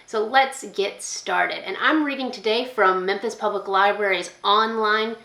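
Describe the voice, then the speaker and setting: A woman reading a children's picture book aloud as a school librarian, expressively, pausing to put questions to young listeners. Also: medium pitch, gravelly, pushed and bright, reverberant.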